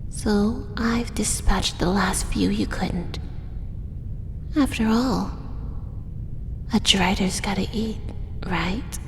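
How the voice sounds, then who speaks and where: A woman voicing a doting, possessive female drider monster in a soft, breathy, whispered ASMR roleplay, coaxing with tender menace in an echoing space. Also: slow pace, long pauses. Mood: fond, sinister. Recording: echoey cave-like reverb, faint rumbling noise.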